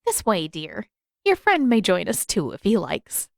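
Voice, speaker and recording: A woman's voice: mid-range voice, huge pitch swings, measured pace, creaky, dry recording, studio quality, exaggerated voice. A woman voicing an amused, kindly elderly healer with a teasing, genteel manner in a fantasy story.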